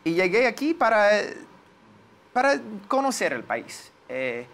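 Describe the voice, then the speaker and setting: A man in his forties with an American accent, a native English speaker, recalling his experiences in an interview and pausing to search for words. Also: high voice, sing-song, a bit bright. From United States. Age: 40s. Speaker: lawyer, interview guest. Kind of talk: interview, personal recollection.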